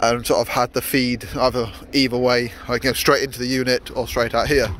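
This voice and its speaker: A man's voice: mid-range voice, little pitch movement, fairly quick, a bit bright, a little hiss or hum. A young man vlogging casually from his workshop yard, rambling with many fillers and restarts. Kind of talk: casual vlog narration.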